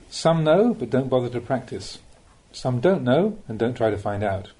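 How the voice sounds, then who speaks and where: Mellow-voiced man reading a Dhamma talk. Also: medium pitch, big pitch swings, a little slow. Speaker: Buddhist monk reading a teacher's collected talks.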